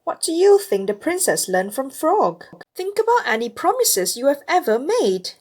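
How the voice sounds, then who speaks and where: A woman narrating a read-along storybook in an expressive way for young children. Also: sits high, normal speed. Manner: animated, addressing young children and parents.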